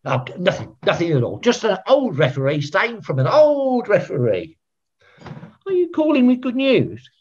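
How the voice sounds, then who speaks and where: An actor playing a pompous, eccentric veteran football referee, theatrical and self-righteous, on a video call. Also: male voice, sits high, wildly swooping pitch, moderate pace, darkish tone. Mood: eager, self-important. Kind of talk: filmed comedy acting on a video call. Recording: dull, lo-fi video call audio.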